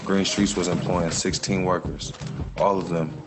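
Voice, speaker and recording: Man, very low voice, founder narrating, steady plain delivery, noisy background. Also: moderate pace. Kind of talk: documentary voice-over. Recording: background noise.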